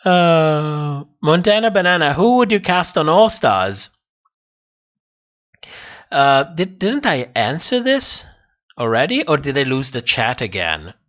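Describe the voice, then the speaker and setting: A man's voice: a little high, big pitch swings, slow pace, fairly bright tone, dull audio. A man hosting a casual livestream, answering viewer comments in a rambling way and repeating phrases as he thinks aloud. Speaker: livestream host.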